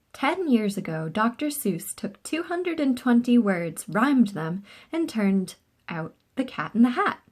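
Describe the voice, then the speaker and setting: A woman reading a children's book aloud in an expressive, animated storytelling style with short asides. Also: mid-range voice. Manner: animated, acts out dialogue.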